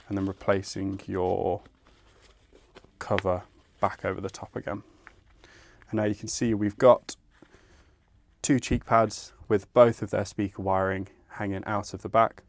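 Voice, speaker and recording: Low-voiced man giving a step-by-step tutorial. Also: no echo. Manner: methodical, informal explaining. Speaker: product installation presenter. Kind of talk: instructional step-by-step tutorial narration.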